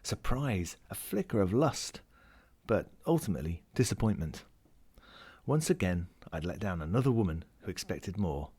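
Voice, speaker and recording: A man's voice: deep voice, very animated, normal speed, warm tone, slightly rough, full character voice. A man voicing a lonely, wry lost ring as he reads a first-person short story in a dramatic, melancholic way. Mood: wistful. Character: lonely, world-weary, wry sentient ring lost at sea.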